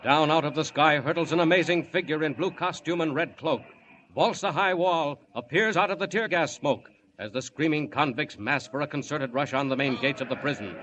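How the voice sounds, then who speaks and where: A man's voice: fairly high pitch, moderate pace, a bit bright. A man narrating a 1940s-style radio adventure serial in a dramatic, declamatory manner. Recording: muffled, noisy old radio recording.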